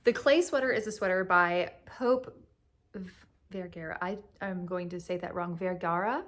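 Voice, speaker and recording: A woman's voice: fairly low pitch, normal speed, a bit bright. A young woman with a cold and a sniffly voice, rambling in a casual chat as host of a knitting podcast, with plenty of fillers. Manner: rambling, chatty, with frequent fillers and laughs.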